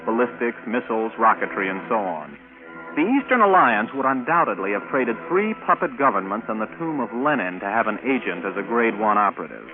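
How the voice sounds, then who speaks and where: A man's voice: lowish voice, big pitch swings, brisk, over music. A man playing a former rocket pilot turned computer operator, narrating and talking matter-of-factly in a 1950s radio drama. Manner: matter-of-fact, conversational. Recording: tinny vintage 1950s radio recording.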